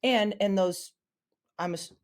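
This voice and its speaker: A woman's voice: mid-range voice, takes it slowly. A woman co-hosting a podcast in casual chat, rambling and circling back as she talks. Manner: rambling, full of ums and restarts. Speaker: podcast co-host.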